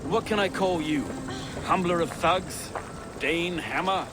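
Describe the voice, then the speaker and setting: A man's voice: high-pitched, slow pace, over music. A man playing an affable, dutiful medieval London reeve in a video game. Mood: affable, wry. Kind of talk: video game cutscene acting.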